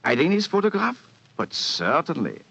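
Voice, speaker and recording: An actor playing a pompous, anxious foreign king with halting, formal speech in a 1940s radio drama. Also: a little high, huge pitch swings, vocal fry, fairly bright tone, husky, dry recording, heavily acted. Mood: grateful, grand. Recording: dull old 1940s radio recording.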